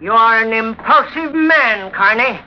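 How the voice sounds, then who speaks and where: A man's voice: very high-pitched, animated, measured pace. A man playing a cold, menacing crime boss with curt, precise, threatening speech in an old radio drama. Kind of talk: old-time radio villain acting. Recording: muffled old radio recording, faint noise.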